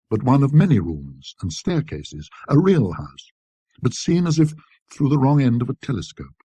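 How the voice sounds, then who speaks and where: Male narrator, husky, very dry close sound, precise, scholarly, measured ghost story reading. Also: medium pitch, warm tone, no echo.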